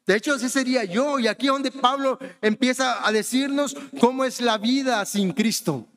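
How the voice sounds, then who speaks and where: A man's voice: extremely high pitch, normal speed, fairly bright tone, long reverb tail. A man from Honduras preaching a sermon in a conversational way, often turning to the congregation with questions. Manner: conversational preaching, often asks the congregation "¿verdad?" and says "hermanos".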